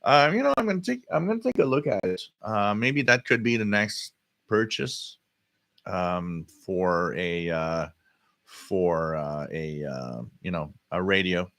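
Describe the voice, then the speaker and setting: A man hosting a casual live hobby stream, answering chat comments in a halting way and often repeating his words. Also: sits low, expressive, vocal fry, fairly bright tone, no room sound. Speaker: livestream host.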